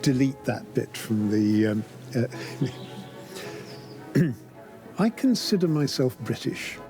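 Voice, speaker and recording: A man's voice: mid-range voice, sing-song, slow. Academic man, college head, dry wit, easy interview tone, music behind. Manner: dry, self-deprecating humor. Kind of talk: relaxed interview.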